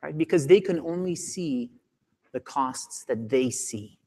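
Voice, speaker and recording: A man in his forties giving a keynote talk on a conference stage, animated and acting out the voices of doctors, on a lapel mic. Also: a little high, unhurried, big-room sound. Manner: animated, acts out doctors' voices, gestures a lot. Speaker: keynote speaker. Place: large conference hall stage.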